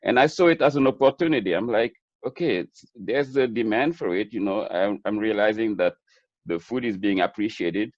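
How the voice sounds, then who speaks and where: A man's voice: fairly low pitch, moderate pace, no room sound, highs cut off. A middle-aged man with a Senegalese accent gives an earnest, reflective interview answer, repeating words as he builds his points. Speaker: webinar guest, chef and food entrepreneur.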